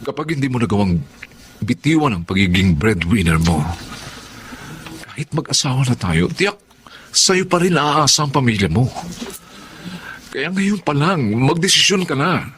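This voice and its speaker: A young Filipino fiancé in a radio drama. His mid-range voice moves in animated swings as he reproaches his partner, earnest and frustrated. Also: mid-range voice, normal speed, long pauses. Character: frustrated fiancé pressing his girlfriend for a decision.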